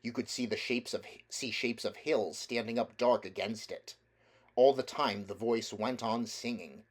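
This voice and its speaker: A man reading a children's novel aloud as a narrator, in a measured, deliberate storytelling manner. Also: fairly low pitch. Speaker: audiobook reader.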